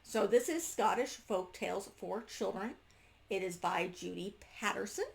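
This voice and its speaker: A woman's voice: medium pitch, vocal fry, a little hiss or hum. A woman reviewing a book casually to camera, unscripted, often breaking off and restarting her sentences as she goes. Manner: halting, self-interrupting, trailing off mid-sentence.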